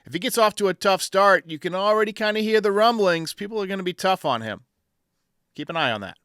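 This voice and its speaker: A man's voice: sits high, lively intonation, a little fast, a bit bright, clear, resonant tone, no room sound, clean studio sound. An American man hosting a sports talk show, giving commentary in response to fan calls.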